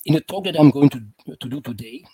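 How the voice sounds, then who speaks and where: A man from continental Europe, a guest economist, explaining things in a measured, hesitant way and trailing off softly. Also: on the high side, lively intonation. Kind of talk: explanatory interview talk.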